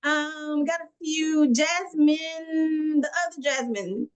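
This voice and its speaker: A woman in her thirties hosts a group call in a fairly high voice, speaking slowly. She is relaxed and encouraging as she calls on each person in turn. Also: highs cut off. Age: 30s. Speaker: group facilitator.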